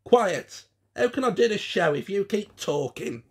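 A man's voice: sits high, sing-song, unhurried, slightly rough, pitched above the performer's own voice, full character voice. A man voicing a bossy, impatient male puppeteer in an audiobook, giving imperious orders. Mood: angry.

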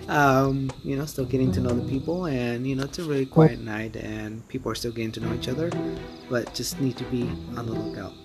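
A man giving a quiet, relaxed confessional to his phone held at arm's length. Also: medium pitch. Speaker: contestant. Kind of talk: confessional aside.

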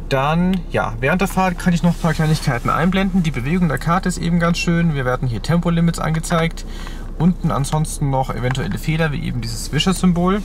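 Guy doing a casual car review, medium pitched voice, clear background noise in the car. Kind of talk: casual, unscripted product walkthrough. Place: inside a parked car.

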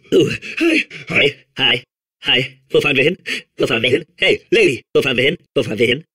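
A man playing a manic, childlike robot sphere obsessed with outer space in a video game, babbling and repeating himself in a strained, husky voice. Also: a little high, full character voice. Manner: excitable, babbling, repeating words compulsively. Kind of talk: video game character voice clips.

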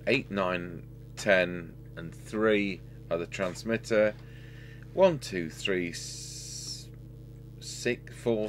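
Deep-voiced man thinking aloud. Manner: halting, thinking aloud while working. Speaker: radio repair technician. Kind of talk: casual hands-on repair demonstration. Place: indoor workbench. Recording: steady hum and background noise.

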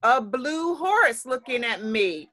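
A young woman teaching preschool, reading a picture book aloud to small children over a video call in a slow, clear, sing-song way. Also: fairly high pitch, big pitch swings, background noise. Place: classroom with a calendar, indoors. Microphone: laptop webcam on a video call.

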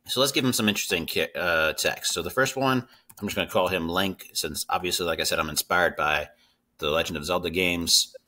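Low, bright-voiced man teaching. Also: fairly quick. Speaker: tutorial host. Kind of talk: casual screen-share coding tutorial.

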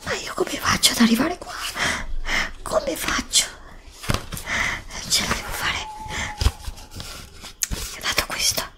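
A woman's voice: high voice, wildly swooping pitch, slow pace, pauses often, sharp tone, pitched above the performer's own voice, exaggerated voice. A woman playing an anxious, desperate heroine lost in a magic forest, breathily repeating herself in short bursts in an ASMR roleplay. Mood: anxious, resolving. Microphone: close USB studio microphone.

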